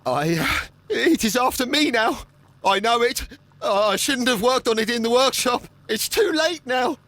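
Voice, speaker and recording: An actor playing a nervous, haunted councillor in a video game, stammering heavily in a hesitant, apologetic way. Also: male voice, extremely high pitch, animated, measured pace, fairly bright tone, dry recording, heavily acted. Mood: fearful, agitated. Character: nervous, haunted, guilt-ridden male councillor and archaeology enthusiast. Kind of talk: scripted video game acting.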